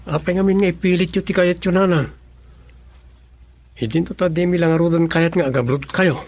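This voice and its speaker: Man playing a high-voiced Ilocano son. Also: fairly quick, darkish tone, soft background music, lightly acted. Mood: concerned. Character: young adult son, concerned about his mother leaving. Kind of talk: radio drama acting.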